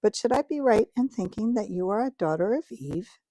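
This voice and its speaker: A woman's voice: mid-range voice, expressive, normal speed, dark tone, dry recording, natural voice. A woman reading aloud and voicing a polite, nervous male faun who talks eagerly and repeats himself.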